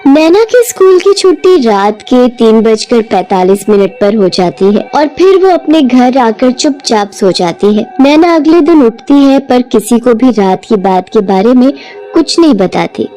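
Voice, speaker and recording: A woman narrating an animated bedtime story in a gentle, even read-aloud manner. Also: fairly high pitch, unhurried, soft background music, rough recording.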